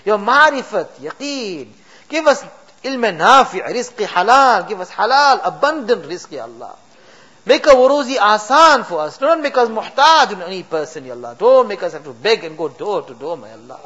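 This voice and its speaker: A man's voice: high voice, sing-song, moderate pace, pushed and bright, slightly rough, highs cut off. A man leading an emotional supplication in a mosque, pleading and rising to impassioned cries.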